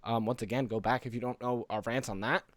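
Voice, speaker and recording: A man's voice: medium pitch, steady tone, fast, no room sound. Earnest man chatting, dry close sound. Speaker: podcast co-host. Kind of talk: casual gaming podcast chat.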